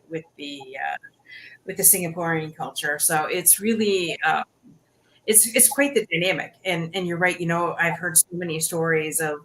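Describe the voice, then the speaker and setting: A woman's voice: on the low side, bright, forceful tone, low-quality recording. A woman hosting an interview podcast, chatting casually with plenty of restarts and "you know". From Canada. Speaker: podcast host.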